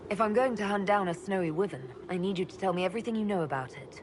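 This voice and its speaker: An actress voicing an elven leader in a video game, speaking plainly and directly. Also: female voice, mid-range voice, fast, noisy background, natural voice. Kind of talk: video game cutscene dialogue.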